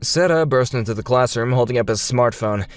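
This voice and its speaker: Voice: mid-range voice, a little fast, soft background music. A narrator reading a scripted manga dub story in a dramatic storytelling style.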